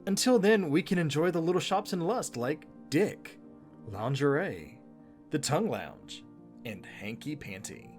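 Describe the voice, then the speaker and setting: Lively, casual co-host with music underneath. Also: medium pitch, unhurried. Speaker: video co-host. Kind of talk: conversational video commentary.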